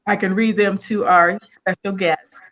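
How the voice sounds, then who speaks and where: A woman's voice: mid-range voice, steady tone, unhurried, fairly bright tone. A woman hosting a live online interview, upbeat, greeting viewers and guiding the conversation. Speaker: host.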